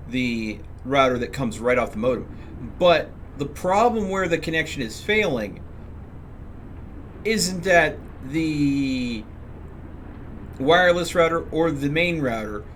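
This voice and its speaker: A man's voice: mid-range voice, fairly bright tone. A man talking casually as he streams a game, commenting on his play and explaining things step by step in a rambling way. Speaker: live streamer. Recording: clear background noise.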